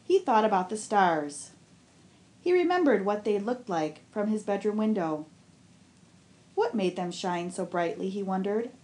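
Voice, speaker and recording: A woman on a school's staff reading a children's novel aloud, with expressive storybook narration. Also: medium pitch, unhurried.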